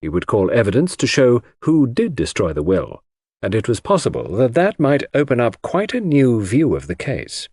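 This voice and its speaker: A man reading classic crime fiction as a scripted audiobook, in formal, measured storytelling. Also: mid-range voice, wildly swooping pitch, a little mellow, breathy edge, no echo, clean studio sound. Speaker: audiobook narrator.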